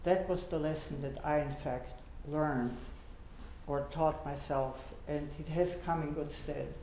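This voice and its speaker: An elderly woman in her eighties speaks in an earnest, measured way, with a mellow, somewhat dark tone and a fairly high pitch for her voice. She sounds echoey, as if heard across a large lecture hall, with faint room noise behind her. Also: steady tone. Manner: earnest, measured, gently exhorting. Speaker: Holocaust survivor panelist. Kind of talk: personal testimony at a lecture.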